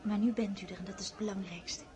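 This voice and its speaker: A woman's voice: mid-range voice, steady tone, fast, very noisy, natural voice. A woman playing an eager, chatty young countess in a radio play. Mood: relieved.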